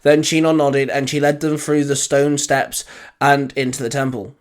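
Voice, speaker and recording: A man's voice: mid-range voice, level tone, moderate pace. A man narrating a scripted fan fiction adventure story. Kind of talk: scripted narration with character dialogue.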